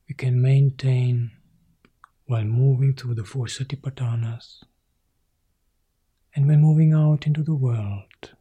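A male monk guiding a meditation in soft, short phrases that often sink into a whisper. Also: medium pitch, breathy edge. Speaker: Buddhist monk leading meditation. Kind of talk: guided meditation.

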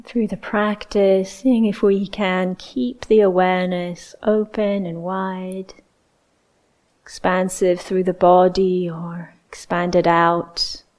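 A woman leading a guided meditation as a teacher, speaking in a gentle, unhurried way with long pauses. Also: on the low side.

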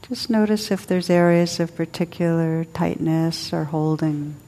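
A woman leading a guided meditation as a teacher, soothing and unhurried, speaking in short phrases with long pauses. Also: low voice, little pitch movement, dark tone. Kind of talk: guided meditation, spoken to a group.

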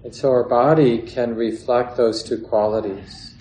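A man leading a guided meditation as a teacher, speaking calmly and in measured phrases with long pauses. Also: medium pitch. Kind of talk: guided meditation, unscripted instruction. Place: meditation hall or large room. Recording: roomy echo, faint background noise.

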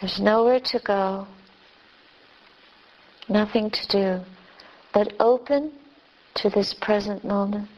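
A woman leading a guided meditation as a teacher, speaking gently and unhurriedly in short phrases with long pauses. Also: medium pitch, low-quality recording. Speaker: Buddhist nun, meditation teacher.

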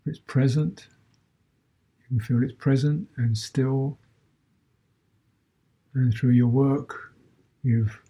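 A man teaching a guided meditation as a Buddhist teacher, speaking in short measured phrases with long pauses between them. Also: medium pitch, dark tone, breathy edge, rough recording.

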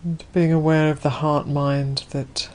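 A woman leading a guided meditation as a Buddhist nun, speaking gently in unhurried, spacious phrases. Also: low voice, flat delivery.

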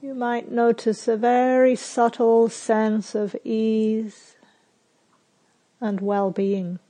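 A woman meditation teacher guiding a sitting meditation in a soft, unhurried way with long pauses. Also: on the high side, little pitch movement. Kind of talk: guided meditation. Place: retreat center.

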